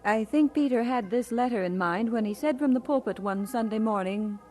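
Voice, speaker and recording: Actress as gentle, composed pastor's wife. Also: female voice, a little high, moderate pace, background noise, natural voice. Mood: reflective. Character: gentle, devoted wife of a pastor, telling his story. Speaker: actress and narrator in a radio drama. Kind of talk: old-time radio drama acting.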